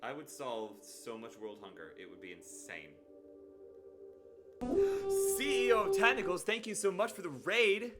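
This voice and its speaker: A man hosting a live stream, chatting playfully with sudden excited jumps in his voice. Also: a little high, unhurried, over loud music. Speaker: livestream host. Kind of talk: casual live stream chat.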